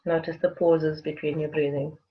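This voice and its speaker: A guided-meditation voice of middling pitch, soothing and unhurried, leaving long pauses between gentle phrases. The recording is dull and lo-fi, with the highs rolled off. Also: flat delivery.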